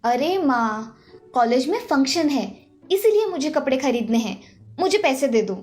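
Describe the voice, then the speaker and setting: A woman voicing a vain, carefree and defiant young daughter-in-law with a flippant, self-assured manner in an animated story. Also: high voice, a little slow, faint music behind. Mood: carefree, boastful. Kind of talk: animated moral story dialogue.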